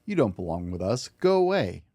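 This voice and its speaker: A man acts out a vain, colourful storybook owl, haughty and aloof. The delivery is snooty and languid, in a fairly low, mellow voice. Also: big pitch swings, moderate pace, no echo. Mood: disdainful.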